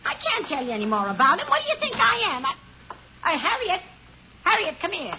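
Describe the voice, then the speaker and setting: A woman's voice: extremely high pitch, very animated, brisk, exaggerated voice. An actress playing a suspicious, overworked landlady who is curt and full of complaints, in 1950s radio character style. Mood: irritated. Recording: muffled, slightly noisy vintage radio recording.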